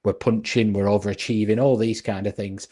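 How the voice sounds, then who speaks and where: A man co-hosting a casual football podcast, reasoning his points out loud with frequent restarts. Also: fairly low pitch, fairly even intonation, fairly quick. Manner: earnest, reasoning aloud with restarts.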